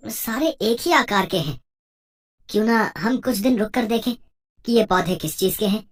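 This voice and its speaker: A woman voicing a humble, puzzled village farmer and husband in a moral story, with a simple, expressive delivery. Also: mid-range voice, normal speed, natural voice. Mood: puzzled, amazed. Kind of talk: moral story cartoon dialogue.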